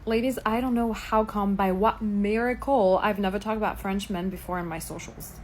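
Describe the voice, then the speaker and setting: A woman's voice: mid-range voice, moderate pace, darkish tone, slight background noise. Woman, medium voice, preachy social media lecture. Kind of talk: social media rant to camera.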